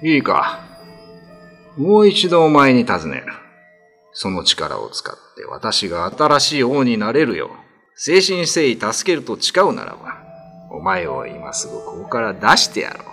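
A man's voice: medium pitch, huge pitch swings, measured pace, creaky, a bit bright, breathy edge, faint music behind, heavily acted. A man playing a cruel, haughty island lord in a radio drama, threatening and commanding in archaic lordly speech. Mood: menacing.

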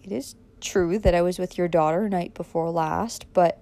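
A woman's voice: on the low side, steady tone, moderate pace, dark tone, lightly acted. Polite, earnest young man for a storybook read by a woman, restrained, formal, a touch hesitant. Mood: forced calm. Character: earnest, self-controlled young man, polite under accusation.

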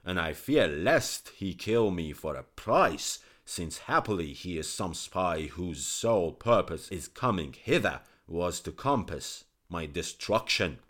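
A man's voice: deep voice, slow, hardly any pauses. A man voicing a wrathful, suspicious king from an old tale, declaiming in archaic speech. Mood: insistent.

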